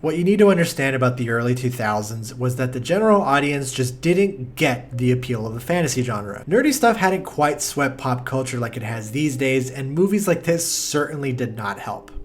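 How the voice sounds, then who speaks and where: A man's voice: medium pitch, lively intonation, normal speed, few pauses, soft background music. A man narrating a film review video essay in a sardonic, exasperated way, swearing freely.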